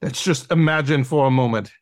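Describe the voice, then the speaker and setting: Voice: mid-range voice, brisk, no room sound, clean studio sound, natural voice. A performer playing a pompous, lecherous male dog who teaches acting, with theatrical, commanding delivery in an audio play. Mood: urging, excited.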